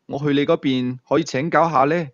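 A man with a Hong Kong Cantonese accent voicing a sharp, teasing, authoritative police team leader in an audio thriller. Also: on the high side, steady tone, fairly quick, no room sound, muffled recording, lightly acted. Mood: probing, teasing.

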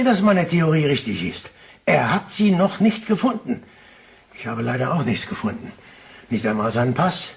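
A shrewd and genial police detective in a German radio crime drama. He speaks at a medium pitch with theatrical, inquisitive courtesy, over faint noise. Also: vocal fry.

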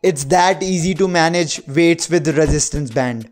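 A man's voice: a little high, very slow, darkish tone, faint music behind. A young man giving an explanatory workout voice-over as an instructor, dropping English gym terms into his sentences. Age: young adult.

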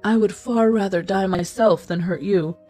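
Woman reading a storybook guard captain, male, loyal, protective, steady, reassuring, husky edge, faint music. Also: mid-range voice, moderate pace, close to an everyday voice. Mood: reassuring, grave.

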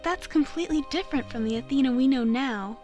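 A woman voicing a perky, excitable teenage girl in a video game fan dub, animated and exclamatory. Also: high-pitched, quick pace, lightly acted. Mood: surprised. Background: game music.

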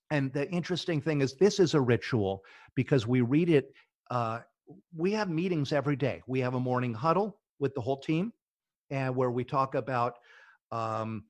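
A man's voice: mid-range voice, no echo. A middle-aged man, a business founder, talking eagerly as a podcast guest and often restarting his words. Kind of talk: casual interview talk.

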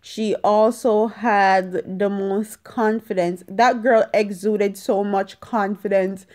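A young woman speaks in a medium-pitched voice at an unhurried pace. She is casual yet emphatic, stressing and repeating phrases as she shares opinions with viewers. It is recorded on a phone held at arm's length in a room at home. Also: steady tone, darkish tone. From Jamaica. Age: young adult. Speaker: vlogger. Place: small indoor room at home.

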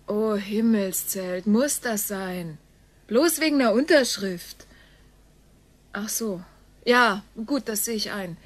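An actress playing a flustered, impatient daughter on a halting phone call in a radio play. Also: female voice, mid-range voice. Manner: halting one-sided phone talk.

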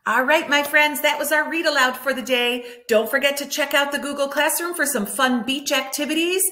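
A middle-aged woman reads a children's picture book aloud like a teacher, animated and clearly enunciated for young listeners. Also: sits high, moderate pace. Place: room at home by a window, indoors.

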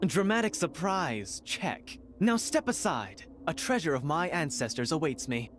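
An actor playing an arrogant, mocking noble heir in a video game, with theatrical, smug sarcasm. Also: male voice, a little high, animated, measured pace, a bit bright, slight background noise. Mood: haughty.

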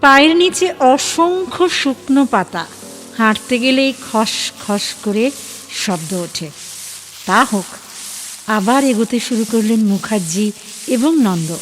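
A woman's voice: a little high, lively intonation, a little slow, a little hiss or hum. A woman reading scripted story narration for a dramatized audio book. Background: background music.

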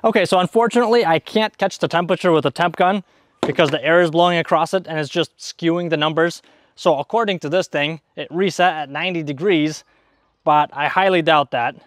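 A man's voice: fairly high pitch, a little fast, noisy background. A man in his thirties giving an informal, step-by-step hands-on demonstration in plain language, speaking into a clip-on mic. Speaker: DIY repair video host. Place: outdoors in a leafy garden at a table. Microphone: clip-on wireless mic on the chest.

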